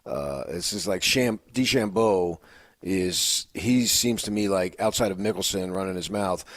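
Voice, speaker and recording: A man's voice: low voice, a little slow, dry recording, studio quality. An American man co-hosting sports radio, giving off-the-cuff opinions in a rambling, self-correcting way. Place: radio studio.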